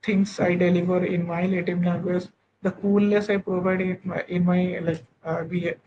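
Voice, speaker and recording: A young man in his twenties from Odisha with an Indian English accent, talking haltingly with many fillers and restarts while practising English with a tutor. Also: high voice. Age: 20s. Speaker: English learner. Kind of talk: online speaking practice session. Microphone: video call. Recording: dull lo-fi call audio with steady noise.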